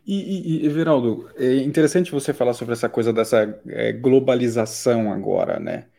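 A man's voice: medium pitch, normal speed, vocal fry, warm tone. A man with a Brazilian Portuguese accent, interviewing casually with slangy, informal questions.

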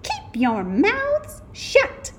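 A woman voicing a wicked, strict substitute teacher in a storybook, stern and commanding with drawn-out threats. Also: extremely high pitch, huge pitch swings, background noise, voice pushed up, exaggerated voice. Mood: stern, threatening.

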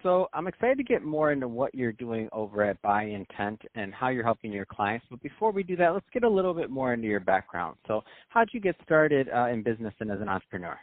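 A man's voice: mid-range voice, sing-song, quick pace, few pauses, no echo. A man hosting a business podcast, introducing the show and guest in an enthusiastic, promotional way. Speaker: podcast host. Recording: dry, phone-like sound.

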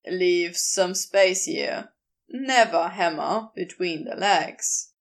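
A woman's voice: lowish voice, slow pace, creaky, pitched below the performer's own voice. A woman voicing an easygoing, teasing, encouraging man in audiobook character dialogue. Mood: teasing, instructive.

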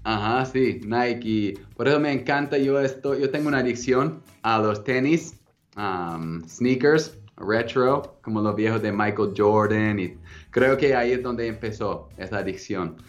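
A man in his thirties with an American English accent, speaking Spanish as a second language as a guest in a casual interview, relaxed and hesitant, with frequent ums and restarts. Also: medium pitch, measured pace, dull audio, soft background music. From United States. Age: 30s. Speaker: guest entrepreneur.

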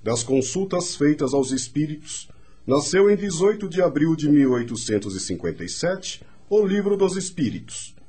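A man's voice: on the high side, big pitch swings, moderate pace, mellow, slightly rough. A man reading scripted historical narration for a radio drama.

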